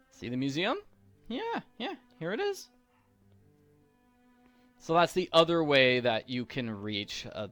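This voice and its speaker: A man's voice: mid-range voice, a bit bright, no echo. A man giving casual, playful video game walkthrough commentary, with a theatrical streak.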